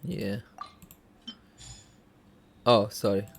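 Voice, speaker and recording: A woman's voice: very deep voice, drawn-out words, vocal fry. A woman giving casual, reactive commentary while live streaming a video game, informal and murmuring. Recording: stream with faint game music behind.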